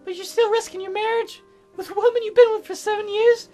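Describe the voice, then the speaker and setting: A man acts out a frail fourteen-year-old girl patient from a visual novel. The voice is very high and strained upward, with a bitter, insecure edge and blunt delivery, over background music. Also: flat delivery, brisk. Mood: accusing. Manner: stammering when flustered, sharp and blunt.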